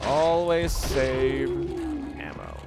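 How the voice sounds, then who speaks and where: A man's voice: mid-range voice, sing-song, drawn-out words, vocal fry. A man giving casual, rambling walkthrough commentary on a video game, correcting himself and explaining tactics as he plays. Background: video game music and sound.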